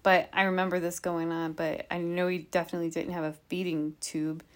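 Lower-pitched woman telling true crime. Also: flat delivery, fairly quick. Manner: informal, with rhetorical questions and asides. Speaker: podcast host telling a true crime case. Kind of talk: conversational storytelling from notes.

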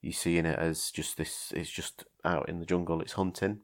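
A man's voice: low voice, level tone, moderate pace. A man hosting a casual film podcast, talking in a hesitant way with many ums and restarts. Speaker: podcast host.

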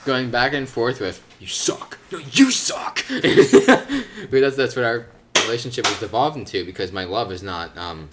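A man's voice: medium pitch, big pitch swings, normal speed, background noise. A young man co-hosting a casual podcast with friends, rambling and laughing a lot. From United States. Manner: laughs often, jokey, rambling. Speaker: podcast co-host. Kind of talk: casual chat among friends.

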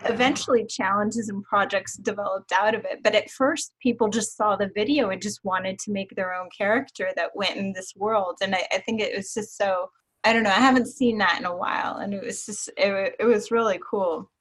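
A woman's voice: mid-range voice, steady tone, fairly quick, husky, poor audio. A woman, hosting a casual interview, enthusiastic and patient when she explains things. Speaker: host.

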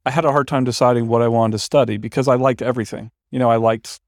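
A man's voice: medium pitch, steady tone, quick pace, dry recording, studio quality. A man in his forties, co-hosting a podcast, talking casually in a reflective, rambling way. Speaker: podcast co-host. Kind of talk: casual chat.

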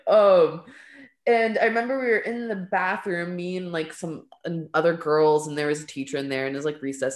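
A woman, a podcast guest and artist, telling stories of growing up in a rambling, casual way, dropping "like" and "um" and laughing often. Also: fairly low pitch. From California and Lebanon. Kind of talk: casual storytelling in an interview. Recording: roomy echo.

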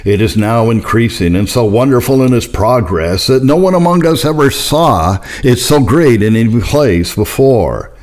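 A man narrating historical religious writings in a measured, formal, solemn way, like an audiobook. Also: medium pitch, expressive, a little mellow. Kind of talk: scripted narration, audiobook reading.

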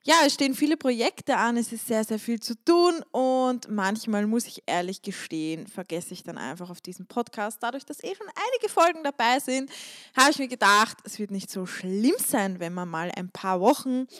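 A woman's voice: on the high side, expressive, moderate pace, a bit bright, no room sound, studio quality. A woman hosting a solo podcast on stretching, talking in a chatty, emphatic way and repeating words to stress a point. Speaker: podcast host and stretching coach. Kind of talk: casual solo podcast monologue.

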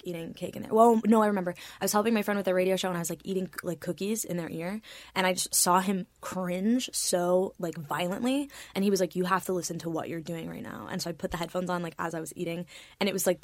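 A young woman in her early twenties, talking fast in a loose, rambling way, full of "like" and offhand swearing. She is a guest chatting on a podcast, recorded close and dry with no room sound at all. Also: mid-range voice, dry recording. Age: 20s. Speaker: musician guest. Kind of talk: casual podcast chat.

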